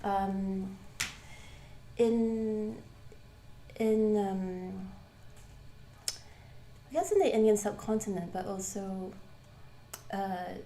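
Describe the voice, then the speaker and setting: A woman from Malaysia, teaching a live Buddhist class and asking the group questions, pausing often with ums and restarts. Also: mid-range voice, dark tone, a little hiss or hum. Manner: hesitant, many ums and restarts. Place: shrine room with a live class. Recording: roomy hall ambience.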